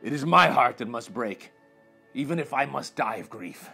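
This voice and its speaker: A man voicing a gentle, lovesick monster for a storybook, speaking in a grave, pleading manner. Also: medium pitch, animated, moderate pace, gravelly, husky, soft background music, voice pushed down, heavily acted. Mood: sorrowful. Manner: grave, pleading, sighing delivery. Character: gentle, sorrowful male beast in love, self-loathing monster.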